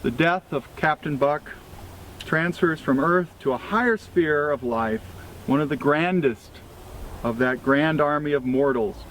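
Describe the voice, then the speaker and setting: A man with an American accent reading a formal obituary tribute aloud in a deliberate, solemn way, pausing between phrases. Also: fairly high pitch, a bit bright, poor audio. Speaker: freemason reader at a memorial event. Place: outdoors at a cemetery event. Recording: clear ambient outdoor noise with vehicles.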